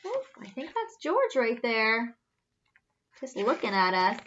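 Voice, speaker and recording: A woman reads a picture book to children with a high, very lively voice. She goes slowly, asks questions theatrically and marvels at the story. The recording is slightly dull. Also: a little hiss or hum. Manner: theatrical, questioning, gasping with wonder. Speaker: story reader, children's teacher-like host. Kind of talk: animated children's storybook read-aloud.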